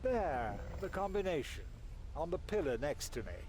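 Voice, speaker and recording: A man's voice: on the high side, sing-song, moderate pace, background noise. A man voicing a sly, theatrical undead schemer in a video game, mocking and teasing with a languid drawl. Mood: bored, smug. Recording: music behind.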